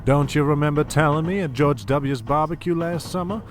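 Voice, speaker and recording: A man's voice: on the high side, normal speed, natural voice. A man playing a smug, scheming oil baron in an audio drama, silky, condescending and mock-courteous. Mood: silky blackmail.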